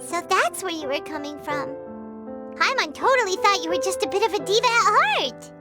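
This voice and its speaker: A woman voicing a tiny, chirpy and blunt female companion in a video game, with a high, bouncy voice. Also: big pitch swings, moderate pace. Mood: musing. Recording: game audio with soft music underneath.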